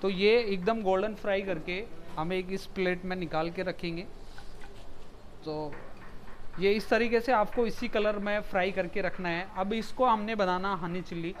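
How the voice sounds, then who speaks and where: Chef explaining steps over sizzling pans. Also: male voice, high voice, steady tone, measured pace, a little hiss or hum. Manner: explains step by step, mixing English words into Hindi. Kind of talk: cooking demonstration. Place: commercial kitchen. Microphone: lapel.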